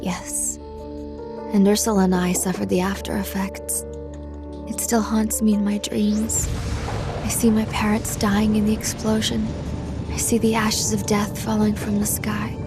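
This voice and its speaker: A woman's voice: medium pitch, lightly acted. A woman voicing a grave, idealistic young psychic soldier in a video game, earnest and solemn. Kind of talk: dramatic video game voice acting.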